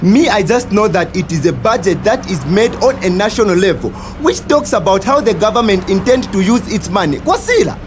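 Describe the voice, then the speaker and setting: A sceptical Zambian commuter in a radio play, voiced by an actor, casual and full of questions. Music plays clearly behind the voice. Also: medium pitch, animated, brisk, hardly any pauses, lightly acted. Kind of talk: acted audio drama dialogue.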